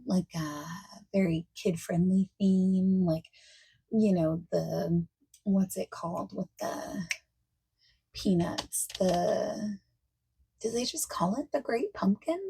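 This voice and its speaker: A woman playing a friendly, accommodating party planner in a softspoken ASMR roleplay, chatting casually and reassuringly, at times nearly whispering. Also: mid-range voice, gravelly, husky, full character voice. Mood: friendly, chatty. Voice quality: soft-spoken, breaking into near-whispers. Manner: casual, reassuring, chatty, pausing for unheard replies. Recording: faint noise, keyboard typing and clicking.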